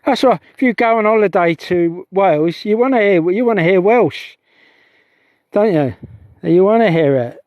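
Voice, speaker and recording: A man's voice: high voice, expressive, normal speed, no room sound, highs cut off. A middle-aged man livestreaming outdoors on a heath, talking in a rambling, meandering way that keeps restarting itself. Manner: chatty, meandering, self-interrupting. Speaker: UFO investigator vlogger.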